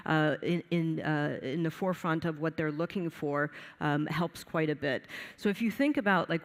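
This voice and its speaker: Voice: mid-range voice, long reverb tail. A panelist in a panel discussion who speaks loosely, with lots of fillers and restarts.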